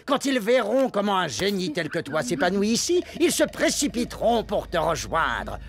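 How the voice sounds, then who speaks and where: A man's voice: sits high, big pitch swings, moderate pace, creaky, heavily acted. A man voicing a bossy, scheming microbe school principal in a French cartoon comedy, issuing pompous decrees. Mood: coaxing, threatening. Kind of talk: French animated comedy dub.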